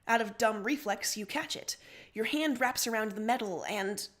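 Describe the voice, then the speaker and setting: A woman reading a story aloud in an expressive, theatrical way, as a dramatic narrator. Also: a little high, moderate pace. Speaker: livestream story reader.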